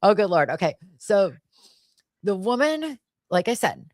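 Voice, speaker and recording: An American woman co-hosting a podcast, chatting casually in a blunt, slangy way and telling stories in an animated manner. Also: mid-range voice, measured pace, dry recording, studio quality. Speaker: podcast co-host. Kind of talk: casual chat, animated storytelling.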